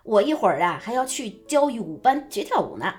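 A woman's voice: on the high side, big pitch swings, a little fast, darkish tone. A woman playing a fussing, coaxing middle-aged mother in a radio drama, with an exclamatory way of talking. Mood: amused. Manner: nagging, exclamatory. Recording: music under the voice.

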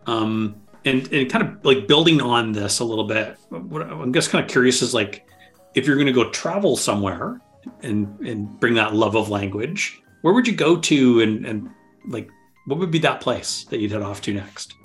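A man hosting an interview podcast, relaxed and a little rambling as he asks questions. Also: mid-range voice, normal speed, faint music behind. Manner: relaxed, rambling, laughs easily. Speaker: podcast host. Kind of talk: casual interview chat.

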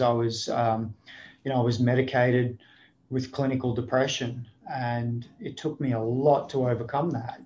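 Guest, Australian man, middle-aged, reflective storyteller, mid-pitched, slightly muffled line. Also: a little mellow. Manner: thoughtful, frequent restarts and 'you know'.

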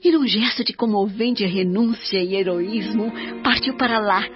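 A woman playing a serene, devoted older mother spirit in a radio drama, speaking in a solemn, measured, instructive way. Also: mid-range voice, lightly acted. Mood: solemn, tender. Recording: dull radio drama track with music.

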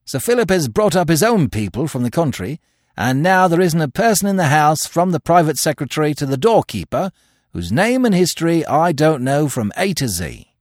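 A man voicing a dutiful police superintendent in an audiobook, reporting in a brisk, emphatic, businesslike way. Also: a little high, big pitch swings, a little mellow, no echo, studio quality, voice pushed up. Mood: confident.

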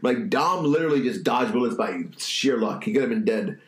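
A man's voice: mid-range voice, lively intonation, a little fast. A man hosting a podcast, with a stuffy nose, chatting loosely and bluntly, with some swearing. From New England. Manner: loose, profane, opinionated riffing. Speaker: podcast host. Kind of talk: casual chat. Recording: echoey room.

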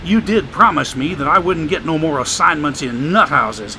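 A sarcastic, drawling homeless man with rough grammar, pitched well up and lively, as a male narrator puts him on in a storybook reading. His voice rings bright and forceful. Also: moderate pace, slight background noise, poor audio. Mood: grumbling. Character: folksy homeless older man, wisecracking.

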